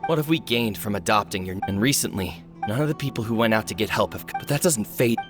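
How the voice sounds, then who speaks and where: A man's voice: lowish voice, expressive, fast talker, over music, natural voice. A man voicing a stern male village leader in a game cutscene, firm and resolute. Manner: firm and resolute, growing angry.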